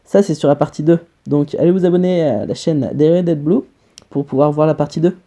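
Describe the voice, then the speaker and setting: Man with a very mellow, dark voice. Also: on the high side, a little fast. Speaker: online video co-host. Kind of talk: casual fan theory chat.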